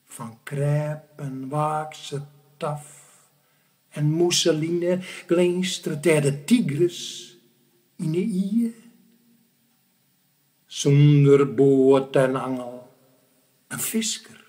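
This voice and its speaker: A man giving a dramatic, declamatory poetry recitation with deliberate pauses between short phrases. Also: fairly high pitch, warm tone.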